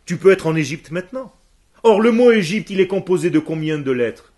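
A man's voice: sits high, lively intonation, darkish tone, slightly rough. A man who grew up speaking Hebrew, teaching a class emphatically and firing questions at his students. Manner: emphatic, asks the room questions, answers students quickly. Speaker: religious teacher.

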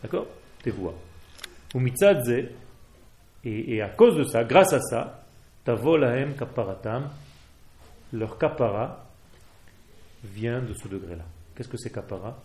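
A man's voice: medium pitch, expressive, measured pace, pauses often, darkish tone, echoey room. A man from Israel with an Israeli accent and Hebrew as his first language, fervently exhorting a religious class. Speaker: rabbi. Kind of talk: Torah class.

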